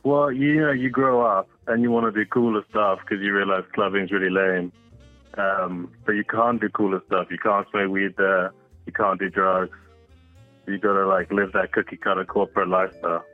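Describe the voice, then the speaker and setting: A man's voice: low voice, steady tone, normal speed, no room sound, faint music behind. A man in his thirties who grew up in Singapore, calling in to a podcast, laid-back and slangy, laughing easily. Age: 30s. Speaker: caller. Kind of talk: casual chat by phone. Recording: tinny dry phone line.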